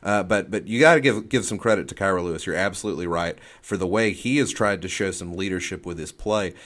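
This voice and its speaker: A man's voice: low-pitched, fast, a bit bright. A man co-hosting a sports talk show, analysing off the cuff and often repeating words and phrases as he goes. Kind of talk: unscripted sports discussion and analysis.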